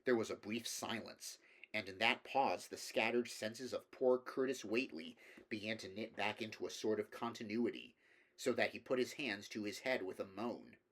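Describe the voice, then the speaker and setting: A man's voice: lowish voice, normal speed, fairly bright tone. A man reading a horror story aloud as scripted narration. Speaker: audiobook reader.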